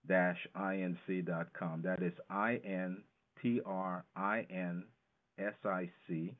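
Male expert guest, low register, emphatic explainer with rhetorical questions, phone-quality audio. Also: steady tone, takes it slowly. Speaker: financial educator, interview guest. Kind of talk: explanatory radio interview talk. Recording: narrow, tinny phone-line sound.

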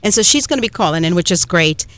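A woman's voice: on the low side, lively intonation, fast talker, fairly bright tone, no room sound. A woman from Trinidad hosting a radio talk show, chatty and emphatic, with frequent fillers. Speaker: radio host. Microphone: studio microphone.

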